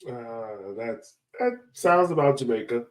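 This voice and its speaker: Male interviewer, medium pitch, relaxed wording, agreeable and informal chat. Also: unhurried, a crowd in the background. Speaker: podcast host, interviewer.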